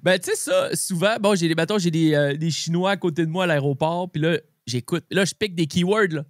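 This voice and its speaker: A man with a Québécois French accent hosting a casual chat, speaking in informal slang with halting, repeated starts. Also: a little high, dry recording, clean studio sound. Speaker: podcast host.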